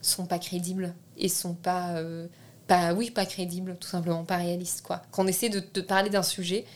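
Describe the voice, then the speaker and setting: A woman's voice: fairly low pitch, little pitch movement, moderate pace. A young woman from France, a filmmaker being interviewed, talking casually with many hesitations and restarts. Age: young adult. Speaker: filmmaker guest.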